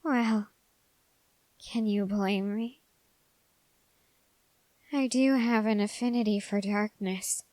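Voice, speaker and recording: A woman voicing an ambitious, dark-hearted young man in an ASMR roleplay, speaking in formal, halting phrases with drawn-out pauses. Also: mid-range voice, steady tone, no room sound, lightly acted, poor audio. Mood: hushed, longing. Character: ambitious, dark-hearted young male human bargaining with a demon.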